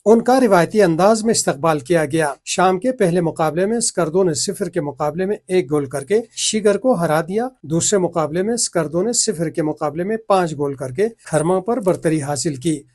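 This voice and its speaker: A man's voice: fairly high pitch, animated, hardly any pauses, darkish tone. A man working as a news reporter, delivering a report on a local event. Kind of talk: scripted news report narration with on-location sign-off.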